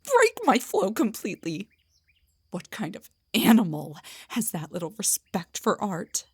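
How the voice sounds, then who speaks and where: A woman voicing an indignant, defensive half-drow bard in a scripted fantasy roleplay audio, with a breathy, theatrical delivery. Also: mid-range voice, wildly swooping pitch, measured pace, a little mellow, exaggerated voice. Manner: theatrical, sarcastic, emphatic, stammers when rattled. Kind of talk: scripted roleplay monologue, one side of a conversation.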